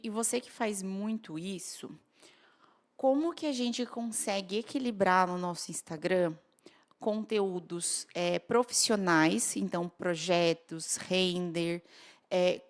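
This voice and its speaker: A woman's voice: mid-range voice, slow. A Brazilian woman hosting a podcast, asking and commenting in a casual, conversational interview style.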